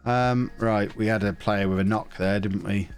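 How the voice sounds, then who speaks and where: A man's voice: lowish voice, steady tone, moderate pace, faint music behind. A man chatting casually on a live stream, joking and reading out viewer messages while he plays a football management game, with a slightly blocked nose. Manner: chatty, joking, reads chat messages aloud, hums to himself.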